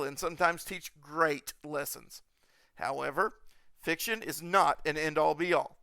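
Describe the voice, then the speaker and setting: Bright, clear-voiced man hosting a podcast. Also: on the high side, no room sound. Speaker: podcast host.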